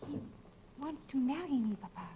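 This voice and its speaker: A young French woman, gentle and hesitant, speaks in a high voice with a French accent. It is polite vintage radio acting. The recording is dull and faintly noisy. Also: animated, moderate pace, vocal fry. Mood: uncertain. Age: young adult. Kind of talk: 1940s radio drama acting.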